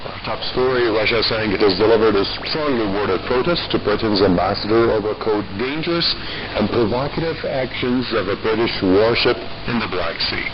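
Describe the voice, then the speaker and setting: Muffled shortwave newsreader, low-voiced man. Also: normal speed. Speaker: news presenter. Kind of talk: scripted news reading. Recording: muffled crackly shortwave radio with music.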